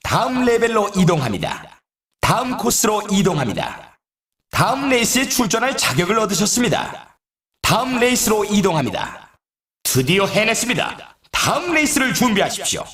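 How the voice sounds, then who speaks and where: A man playing an excitable race announcer in an arcade sports video game, calling out in a showy, emphatic way. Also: sits high, normal speed, echoey room, crowd noise. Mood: upbeat, congratulating. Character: excitable male snowboard race announcer hyping competitors.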